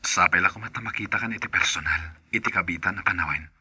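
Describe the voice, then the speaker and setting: A man's voice: low-pitched, fast talker, bright, forceful tone, husky, no echo, exaggerated voice. A man acting an eager, coaxing secret lover in a podcast drama. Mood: flirtatious.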